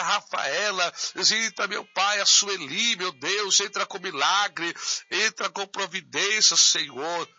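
Man, pastor, fervent repetitive prayer, bright high voice, dull radio sound. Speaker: pastor leading intercessory prayer.

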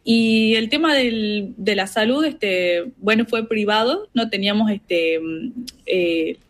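A young woman from northern Argentina with an Argentine accent, speaking as a relaxed interview guest, full of "este" fillers. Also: mid-range voice, slow pace. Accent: Argentine Spanish. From Argentina, Salta, Tartagal. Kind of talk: casual interview by call. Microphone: video call.

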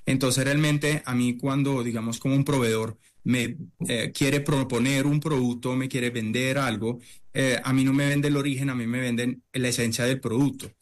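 A middle-aged man from Italy talks through his answers in a halting, searching way. He pauses often on fillers and restarts his sentences, with a voice of ordinary pitch for a man. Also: fairly even intonation. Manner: hesitant, many fillers and restarts. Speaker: chef and restaurant owner. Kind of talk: interview answers.

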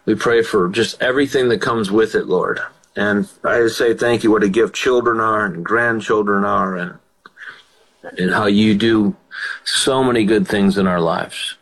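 A man's voice: fairly low pitch, fairly even intonation, a little slow, low-quality recording. An older man, a pastor hosting a call-in radio show, who affirms callers and prays fervently. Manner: warm affirmations, fervent praying.

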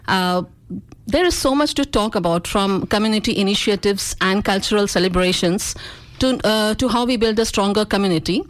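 A woman hosting a radio interview show in a studio, welcoming and chatty, with many uhs and quick yeps. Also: medium pitch, dry recording. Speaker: radio host.

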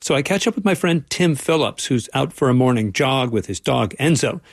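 Gravelly, wry male radio correspondent. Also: medium pitch, lively intonation, normal speed, no room sound, studio quality. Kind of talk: scripted radio feature narration.

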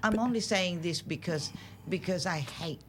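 A woman's voice: fairly low pitch, moderate pace, background noise. A woman hosting a casual studio chat, teasing and playful; her first language is Indonesian. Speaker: host.

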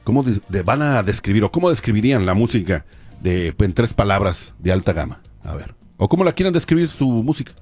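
A man's voice: lowish voice, sing-song, a little fast, darkish tone, slightly rough, faint music behind. A man in his forties hosting a casual, upbeat interview with a band, joking and using slang. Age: 40s. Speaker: radio show host.

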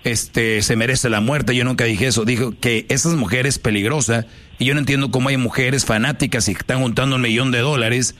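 A man's voice: mid-range voice, steady tone, a little fast, a bit bright. Man, call-in host, blunt, confrontational, slangy phrasing, informal opinionated talk. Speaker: talk show host taking calls.

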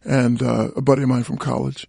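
Gravelly, husky-voiced man on a radio panel. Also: medium pitch, flat delivery, a little fast, warm tone, dry recording, clean studio sound. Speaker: panel guest. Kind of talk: casual radio panel chat.

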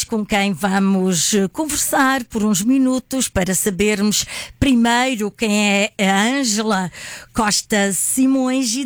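Woman radio host, slow and unhurried, dry close sound, gentle restarts. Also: on the high side, no room sound. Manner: unhurried, warm laughs, small restarts. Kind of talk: radio interview.

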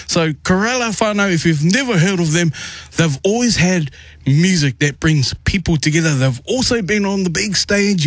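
A man's voice: on the high side, fairly bright tone, dry recording. A man hosting a radio show, interviewing musical guests in an effusive, rambling way.

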